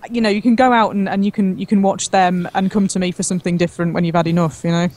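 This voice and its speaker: A woman's voice: mid-range voice, fairly even intonation, quick pace, darkish tone. Frank woman chatting casually, mid-range voice. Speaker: guest, gaming video creator. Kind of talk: casual chat.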